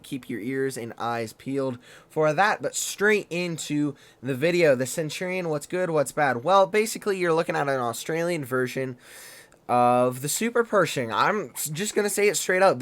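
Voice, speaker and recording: A man hosting a gaming channel, reviewing a tank game in loose, fast, chatty commentary. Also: on the high side.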